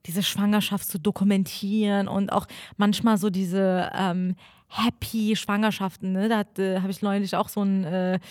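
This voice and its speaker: A woman co-hosting a casual chat, animated and playful, sometimes mimicking other people in exaggerated voices. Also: medium pitch, moderate pace, no echo, clean studio sound.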